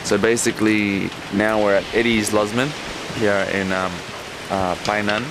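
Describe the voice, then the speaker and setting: A man giving an unscripted travel video diary as presenter, explaining things in a halting, matter-of-fact way. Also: lowish voice, level tone, noisy background.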